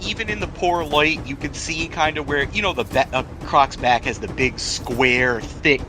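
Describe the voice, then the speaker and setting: A man's voice: fairly high pitch, moderate pace, over music. A man running a tabletop role-playing game as game master, talking informally with the odd swear word.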